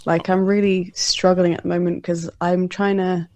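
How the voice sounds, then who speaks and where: A woman's voice: on the low side, level tone, moderate pace, darkish tone, no room sound. A woman who is a guest on a podcast. She has a non-American English accent and talks candidly, often putting herself down and saying "like". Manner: candid, self-deprecating, many "like"s. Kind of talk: casual conversation.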